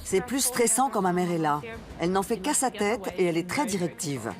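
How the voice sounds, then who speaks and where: A fed-up young daughter vents with exasperation, voiced by a female dub actress. Her pitch swings in lively arcs. Clear music plays behind her. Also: a little fast, lightly acted. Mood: exasperated. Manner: exasperated complaining. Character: frustrated young woman, the owners' daughter. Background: music bed.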